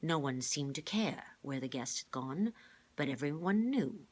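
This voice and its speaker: A woman voicing a grave, gentle older male mentor with a measured, formal way of speaking, reading a children's storybook aloud. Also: low-pitched, animated, slightly rough, full character voice. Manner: measured, formal, careful wording.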